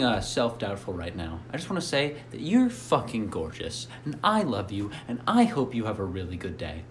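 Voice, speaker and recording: A man putting on a much deeper voice to give a soft, affirming pep talk. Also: slight background noise, poor audio.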